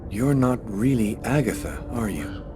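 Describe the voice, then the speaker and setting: A man playing a wary, shaken hero in a supernatural thriller video game, halting and distrustful. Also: mid-range voice, lightly acted. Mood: suspicious, questioning. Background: scary music.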